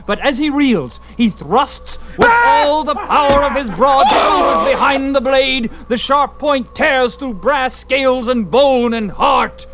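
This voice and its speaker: Male story narrator, unhurried pace, raised pitch, intense, theatrical, deliberate phrasing, scripted fantasy drama. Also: fairly bright tone, poor audio. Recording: music under the voice.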